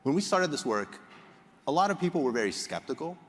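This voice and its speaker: A man from Egypt giving a prepared public presentation as a researcher, explaining in a measured way with emphatic phrasing. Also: on the high side, sing-song. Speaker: conference speaker, polling researcher. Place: large indoor hall, on stage. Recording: reverberant hall sound.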